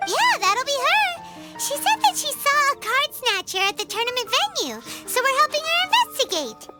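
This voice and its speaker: A tiny, nosy fairy sidekick in a game, squeaking at an extremely high, wildly bouncing pitch. The voice is childlike and excitable, with loud music behind. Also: female voice, moderate pace, exaggerated voice. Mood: nosy, chatty. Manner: squeaky, exclamatory, talks about self in third person. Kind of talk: video game cutscene acting.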